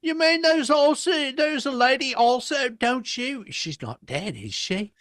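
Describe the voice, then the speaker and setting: A performer voicing small, excitable boys in a storybook reading, with eager, naive, childlike questions. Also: sits high, measured pace, no echo, clean studio sound, voice pushed up.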